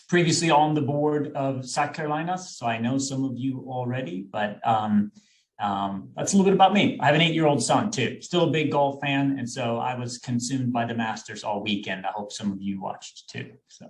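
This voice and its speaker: A man in his thirties from Sweden, speaking English at a middle pitch for a man. He explains things in a friendly way, often pausing on fillers and restarting a phrase. He is heard over a video call microphone, like a guest on a webinar. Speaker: executive recruiter, guest. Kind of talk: webinar presentation.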